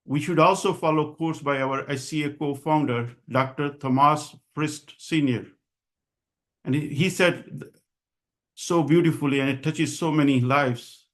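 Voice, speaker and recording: A man's voice: fairly high pitch, fairly even intonation, measured pace. A man speaking as a physician and association leader, delivering a formal advocacy speech in an earnest, insistent, emphatic way. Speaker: physician and association president giving a public address.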